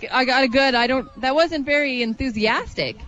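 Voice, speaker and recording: A woman's voice: high voice, normal speed, a bit bright, highs cut off. A woman with a Canadian accent, giving chatty, exclaiming live parade commentary as a radio co-host. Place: outdoors at a street parade.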